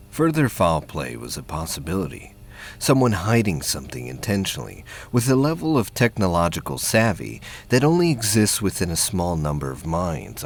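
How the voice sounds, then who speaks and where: A man narrates a science fiction audio drama from a script. His voice sits very low, with a gravelly, husky grain, and he is reading as a storyteller.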